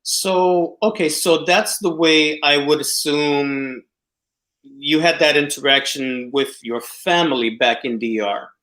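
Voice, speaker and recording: Probing male host, slightly high. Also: takes it slowly, a bit bright. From New York City. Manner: probing, hesitant phrasing. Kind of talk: casual conversation.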